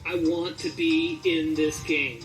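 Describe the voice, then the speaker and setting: A man's voice: fairly high pitch, fairly even intonation, takes it slowly, recorded from a distance, soft background music, rough recording. A man interviewing in a casual, conversational style. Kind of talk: casual chat.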